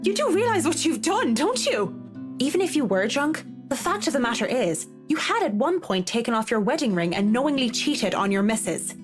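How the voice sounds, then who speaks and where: Lady playing an indignant young woman, highish lively voice, telling a guy off, game music behind. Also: moderate pace. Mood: outraged. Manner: stern, scolding, emphatic. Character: indignant, firm young woman scolding a man. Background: game music with guitar.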